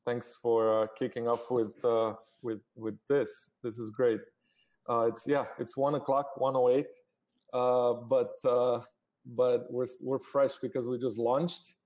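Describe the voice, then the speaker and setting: A man's voice: mid-range voice, level tone, measured pace, warm tone. A man in his forties, originally from Romania, talking casually as a startup founder guest on a live call, with many fillers and restarts.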